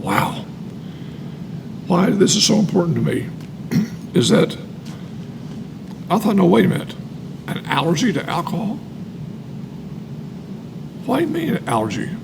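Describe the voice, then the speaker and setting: A man's voice: sits high, huge pitch swings, measured pace, gravelly, long pauses, noisy background. An American man reading aloud and sharing personal testimony, emphatic and repeating phrases for weight. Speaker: co-host reading and commenting on a recovery book.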